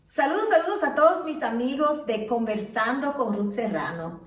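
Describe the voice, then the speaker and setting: A woman hosting an interview, introducing her guest and asking lively questions. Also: fairly high pitch, moderate pace, reverberant, far from the mic. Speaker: interview host. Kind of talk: casual interview, warm introductions. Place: home of the guest.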